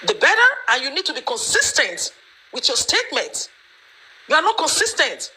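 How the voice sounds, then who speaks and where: A woman's voice: sits high, wildly swooping pitch, normal speed, bright, forceful tone, poor audio. A woman giving her opinion in an online discussion, emphatic and lecturing, with many fillers. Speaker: panelist.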